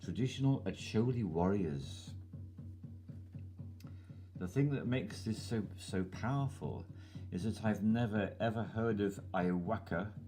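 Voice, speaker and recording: A man's voice: fairly low pitch, a little slow, pauses often, mellow. A man hosting his channel, reading a listener's email aloud over music. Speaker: channel host.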